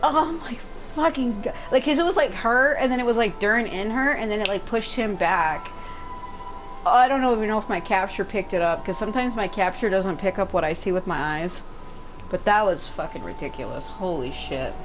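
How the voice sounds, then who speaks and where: A woman's voice: mid-range voice, moderate pace, background noise. A woman doing casual gaming commentary, rambling and informal with some swearing. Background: game music.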